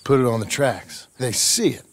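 An actor playing a rough, eager American outlaw in a video game, gravelly, plain-spoken and folksy. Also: male voice, mid-range voice, lively intonation, moderate pace. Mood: eager, persuading. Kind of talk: video game character acting.

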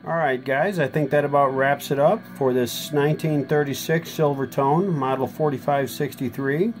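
A man's voice: fairly high pitch, fairly even intonation, moderate pace, soft background music. An American man giving a casual, informal demonstration as a folksy video host. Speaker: radio restorer hosting a demo video. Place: indoor workshop room.

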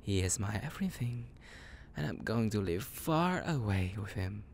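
A man's voice: fairly low pitch, animated, normal speed, gravelly, darkish tone, slight background noise. A man playing a psychotic, possessive young kidnapper in an intimate audio roleplay, cooing menacingly in half whispers with creepy giggles. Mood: smug, elated.

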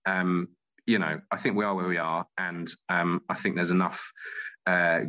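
A man with a very low, bright and forward voice talks as a guest in an interview. He feels his way through sentences, often stopping to rephrase. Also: level tone, moderate pace. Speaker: guest, theatre company director and playwright. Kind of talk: interview conversation.

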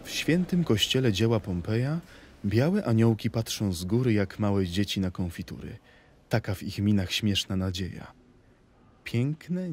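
A man's voice: fairly low pitch, a little mellow, breathy edge. A man reciting poetry in a dramatic, scripted style for an audio play.

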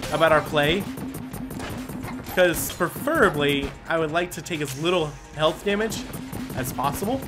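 A man giving let's-play commentary, thinking aloud and bursting into excited exclamations. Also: a little high. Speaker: gaming commentator. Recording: game music under the voice. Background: game music.

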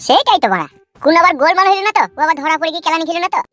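A performer voicing a hot-headed, lovesick young village man in a comedy cartoon, blustering impatiently in slang with a high, bright voice. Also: expressive, very fast, no room sound. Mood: agitated. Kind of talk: animated comedy cartoon dialogue.

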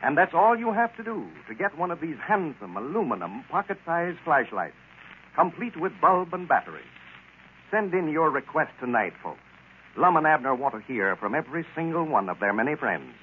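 An American man announcing and pitching a sponsor in folksy, polished 1930s radio style. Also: sing-song, normal speed. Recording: scratchy, tinny 1930s radio broadcast.

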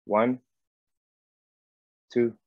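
A man's voice: extremely slow, dry recording. A man in his thirties from South Africa giving an informal online talk, hesitant with wry humor. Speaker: guest lecturer. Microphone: wireless earbuds on a video call. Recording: muffled video call audio.

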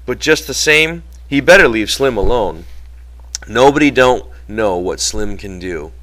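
A man's voice: fairly low pitch, animated, a little slow, fairly bright tone. A man voicing a brash, gossipy young ranch hand with folksy, slangy rural speech in an audiobook.

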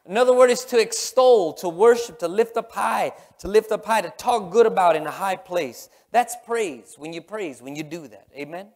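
Middle-aged male pastor, high-pitched voice, emphatic repetitive sermon delivery, long sanctuary reverb. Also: normal speed, few pauses. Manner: emphatic, repetitive, swinging from loud exclamations to hushed murmurs. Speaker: pastor preaching. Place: large church sanctuary, on stage.

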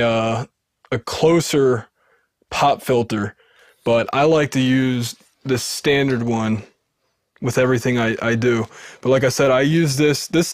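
A man's voice: mid-range voice, dry recording, studio quality. Sibilant man rambling about gear. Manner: rambling, restarts often, sibilant. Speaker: home recording video host. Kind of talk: casual gear walkthrough to camera. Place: small wood-paneled room indoors. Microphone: studio dynamic mic off camera.

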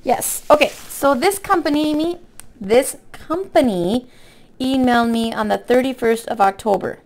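A woman with a hoarse voice from being sick, chatting casually in a folksy, rambling way as a craft vlogger. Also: on the high side, lively intonation, moderate pace, low-quality recording. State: hoarse from illness with a cough. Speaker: craft vlog host. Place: indoor room at a table.

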